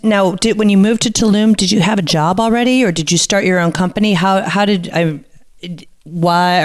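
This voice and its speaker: Bubbly woman podcast host, a bit froggy. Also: on the low side. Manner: bubbly, rambling questions with restarts. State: slightly hoarse from a cold. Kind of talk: casual interview chat.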